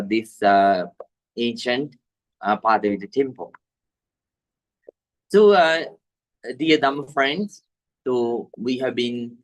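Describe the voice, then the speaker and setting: A man with a Sri Lankan accent, a Buddhist monk teaching meditation to an online group in a halting, deliberate way. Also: medium pitch, rough recording. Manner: halting, deliberate, repetitive phrasing. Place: village temple in Sri Lanka, over video call.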